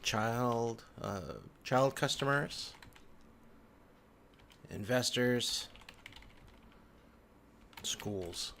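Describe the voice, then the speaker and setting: A man's voice: mid-range voice, very slow, vocal fry, pauses often, fairly bright tone. A man giving a casual, unscripted computer tutorial as an instructor, thinking aloud and murmuring to himself as he works. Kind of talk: casual unscripted screen-recorded walkthrough. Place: indoors at a computer. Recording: background noise, keyboard typing and clicks. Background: keyboard typing and mouse clicking.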